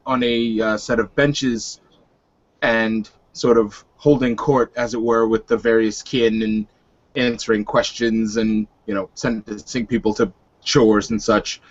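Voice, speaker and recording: A man narrating a tabletop roleplaying session as game master, speaking in a halting, hesitant way. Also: medium pitch, highs cut off.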